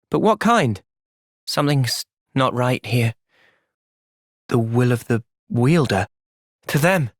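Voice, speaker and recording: A man voicing a thoughtful, loyal young hero in a video game, with an earnest, measured delivery. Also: a little high, no room sound, studio quality. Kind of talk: video game cutscene voice acting.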